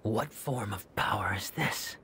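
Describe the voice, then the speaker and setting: A proud, cold demon swordsman in a game cutscene. He speaks slowly in a measured, haughty way, with few words. Clear game ambience sits behind the voice. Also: fairly low pitch, noisy background. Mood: cold curiosity. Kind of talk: dramatic video game acting.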